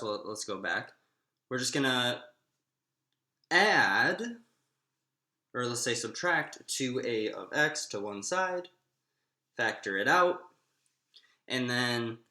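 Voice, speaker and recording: Animated man teaching math, echoey room. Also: mid-range voice, takes it slowly, long pauses. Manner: explanatory, thinking aloud with self-posed questions. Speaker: math instructor. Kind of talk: informal math lecture, working through steps aloud. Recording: echoey, roomy sound.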